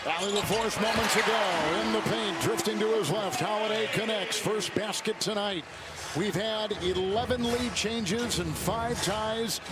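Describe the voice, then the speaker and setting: A man's voice: high voice, measured pace. A man calling live basketball play-by-play in clipped, polished broadcast phrases. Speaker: play-by-play announcer. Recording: loud music over broadcast feed.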